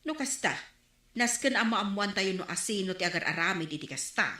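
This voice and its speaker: A chatty gossiping neighbor. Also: female voice, medium pitch, lively intonation, brisk, pushed and bright. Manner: chatty, questioning. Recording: echoey room recording.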